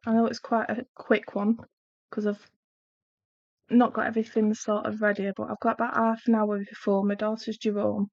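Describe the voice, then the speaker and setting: A woman's voice: medium pitch, little pitch movement, normal speed, a little mellow, no room sound. A middle-aged woman chats casually on a live stream while swatching pencils, her nose bunged up and her throat sore, apologetic and trailing off as she works. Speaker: art channel host. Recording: dull lo-fi home live-stream audio.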